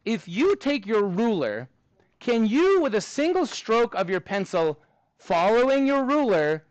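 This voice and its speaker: A man's voice: high voice, big pitch swings, unhurried, no echo, muffled recording. A man with a Texan accent teaching a middle school math class, chatty and joking with his students between problems.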